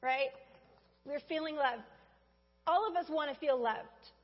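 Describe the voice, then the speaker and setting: A woman's voice: sits high, measured pace. A woman preaching a Mother's Day sermon in a church, talking conversationally and speaking straight to the congregation. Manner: conversational, addresses congregation directly. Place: church sanctuary. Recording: dull sound with long hall reverb.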